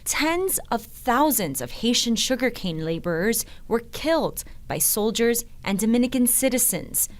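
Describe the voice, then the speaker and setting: A woman's voice: fairly high pitch, lively intonation, unhurried, few pauses. A woman reading scripted news documentary narration in the manner of a reporter.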